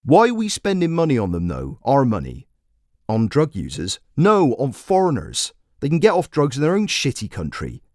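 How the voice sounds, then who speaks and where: A man's voice: medium pitch, wildly swooping pitch, moderate pace, darkish tone, no room sound, clean studio sound. A man voicing a defensive, bigoted radio caller in his forties, blunt and grumbling. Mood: angry, defensive.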